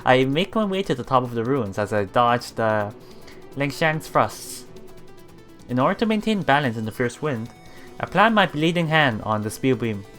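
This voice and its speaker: A streamer reading visual novel text aloud in a halting way, adding casual commentary over game music. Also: mid-range voice, big pitch swings, fairly bright tone. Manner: halting reading, often repeating broken words. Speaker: let's player streamer.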